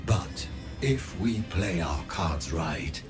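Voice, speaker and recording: A suave, scheming strategist from a game cinematic. His voice is low and very slow, with little swing in pitch. He is courteous and calculating, weighing each phrase, with music behind him. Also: big pitch swings, exaggerated voice. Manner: measured, suave, calculating, formally polite. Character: smooth, scheming middle-aged male criminal strategist, polite flatterer. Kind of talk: video game cinematic voice acting.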